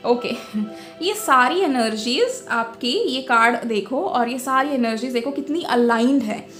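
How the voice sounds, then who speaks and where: A woman giving a casual tarot reading, switching between Hindi and English and often pausing on fillers. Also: high-pitched. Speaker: tarot reader.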